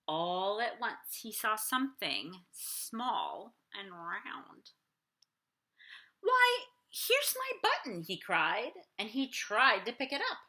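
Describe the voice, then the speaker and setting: A woman teacher reading a picture book aloud to children, theatrical and expressive, explaining things simply. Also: mid-range voice, takes it slowly, few pauses.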